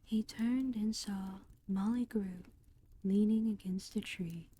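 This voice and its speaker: A woman whispering a storybook narration in a soft, steady hush. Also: medium pitch, takes it slowly, breathy edge. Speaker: audiobook reader.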